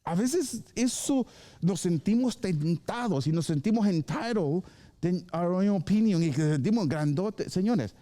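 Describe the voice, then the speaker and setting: A male pastor preaching to his congregation at a live church service. His voice sits high for a man but has a soft, mellow tone. He preaches in a lively way, acting out quick exchanges and slipping English phrases into the sermon. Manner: lively, acts out short dialogues and slips into English phrases.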